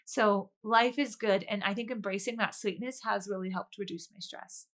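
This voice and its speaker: A woman's voice: mid-range voice, steady tone, normal speed. A woman speaking as a guest author in a conversational interview, chatting and telling personal stories in an earnest way.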